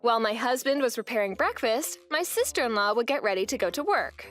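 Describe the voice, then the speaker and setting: A woman's voice: on the high side, lively intonation, fairly quick, fairly bright tone, soft background music. A young woman narrating her own story as a wife in a manga dub, speaking in an earnest, weary way.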